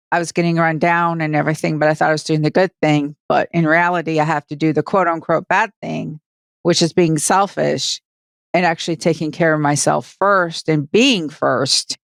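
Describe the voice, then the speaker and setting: A woman's voice: sits low, steady tone, moderate pace, creaky, dry recording, clean studio sound. A woman with an American accent in casual podcast discussion, giving her opinions earnestly and emphatically as a co-host.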